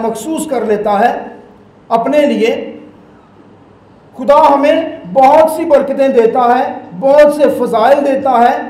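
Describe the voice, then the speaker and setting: High-voiced Pakistani priest preaching emphatically. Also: male voice, a little mellow, roomy sound, low-quality recording. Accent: Pakistani Urdu. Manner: emphatic preaching with sweeping hand gestures. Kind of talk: Sunday homily. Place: small church sanctuary, indoors. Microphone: stand mic at the lectern.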